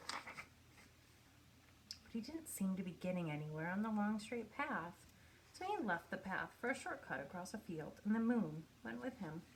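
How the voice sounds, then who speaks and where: A faint background noise sits under a woman's voice as she reads a picture book aloud. Her pitch is mid-range for a woman. She tells the story expressively, pausing for effect as a storyteller does for young listeners. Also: sing-song, low-quality recording. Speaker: storybook reader. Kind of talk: read-aloud children's picture book narration.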